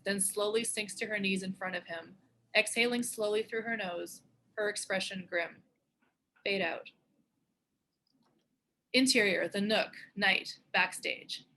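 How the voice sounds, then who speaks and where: A woman's voice: medium pitch, pushed and bright. A woman narrating the stage directions of a screenplay in a scripted read.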